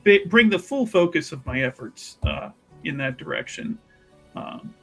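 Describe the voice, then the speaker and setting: A man's voice: a little high, very animated, measured pace, sharp tone, faint music behind, heavily acted. A man playing an earnest, wry investigator in a fantasy tabletop game, musing hesitantly and circling back over his words. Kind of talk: tabletop roleplay, improvised in character.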